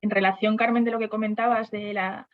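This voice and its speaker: A woman's voice: medium pitch, little pitch movement, a little fast, narrow, tinny audio. A woman on a webinar panel discussing HR topics, speaking hesitantly with frequent restarts and fillers.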